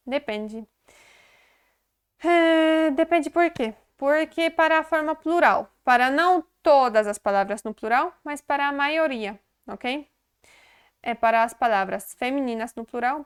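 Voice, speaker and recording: Lady teaching, chatty, slow repeats, smooth clear voice. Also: a little high. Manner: chatty, laughs often, repeats words slowly. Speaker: language teacher. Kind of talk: casual video lesson.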